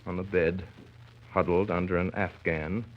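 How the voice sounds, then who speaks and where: An actor playing a grown son narrating family memories, wry and measured, in 1930s radio style. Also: male voice, deep voice, level tone. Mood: wry, reminiscent. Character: grown son wryly recalling his domineering father. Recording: dull 1930s radio recording with music.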